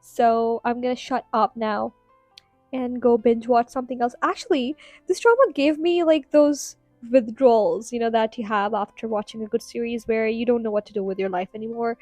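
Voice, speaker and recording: A woman's voice: on the high side, steady tone, normal speed, a little mellow, dry recording. Woman, podcast host, rambling, giggly, worn voice, faint music behind. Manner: chatty, giggly, rambling with fillers. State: tired, strained voice. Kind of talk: casual solo podcast ramble.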